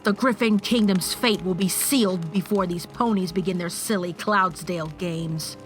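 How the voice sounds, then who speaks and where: A woman's voice: lowish voice, moderate pace, natural voice. A haughty changeling queen acted by a woman in an audio drama. She schemes and boasts, talking down to others with condescending assurance, over faint background music. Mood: boastful, scheming. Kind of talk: fan audio drama acting.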